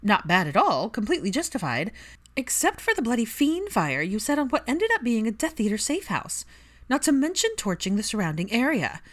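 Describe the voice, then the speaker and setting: A sharp-tongued young woman as a female audiobook reader puts her on, raised in pitch and loud. She is brash and incredulous, and she leans on her words with open sarcasm. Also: very animated, fairly quick. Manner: emphatic, sarcastic delivery. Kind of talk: acted audiobook dialogue.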